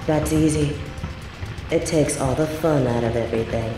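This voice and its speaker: A woman's voice: rumbling low, moderate pace, echoey room, over music. A woman playing a smug, bored sorceress turned journalist in an audio drama, with a sardonic, condescending way of talking. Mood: smug, dismissive. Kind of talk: audio roleplay drama acting.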